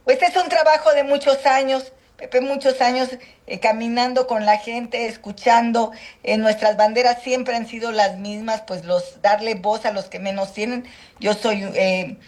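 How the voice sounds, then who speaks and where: Mexican woman, middle-aged, politician interview, measured, halting with fillers. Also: a little high, fairly even intonation, darkish tone. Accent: Mexican Spanish. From Mexico, Guerrero Costa Chica. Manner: measured, slow, hesitant with many "eh" fillers. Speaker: senator and political candidate. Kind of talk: phone or remote interview.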